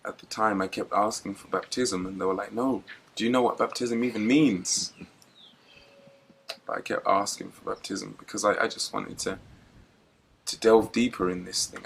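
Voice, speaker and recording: A young man from Zimbabwe, guest at a church interview, telling his story in a relaxed, reflective way and laughing easily. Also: fairly low pitch, vocal fry, slightly rough. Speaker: guest preacher.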